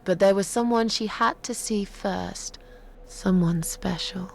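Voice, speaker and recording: An actress playing a sardonic, eerie woman storyteller, delivering horror tales in a creaky voice with deliberate pauses and drops toward a whisper. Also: mid-range voice, a little hiss or hum. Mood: menacing. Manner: slow, deliberate, often dropping to a whisper. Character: sardonic, eerie woman telling a dark cat legend.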